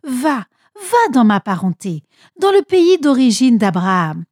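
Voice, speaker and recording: A woman's voice: a little high, big pitch swings, a little slow, darkish tone, dry recording, studio quality, pitched above the performer's own voice. A woman voicing an anxious, protective mother speaking urgently in a storybook tale. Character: anxious protective mother Rebecca urging her son.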